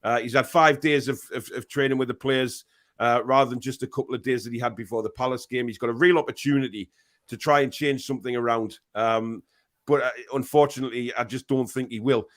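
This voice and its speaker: Male host, mid-pitched voice, stop-start casual chat with lots of "um"s. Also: steady tone, fairly quick, a bit bright. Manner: hesitant, many fillers and restarts. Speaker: podcast host. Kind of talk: casual live-stream chat.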